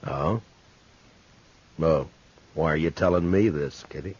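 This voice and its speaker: An actor playing a steady, courteous frontier marshal in 1950s radio western style, plain-spoken and measured. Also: male voice, a bass voice, slightly rough, full character voice. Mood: concerned, firm. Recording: muffled vintage 1950s radio recording.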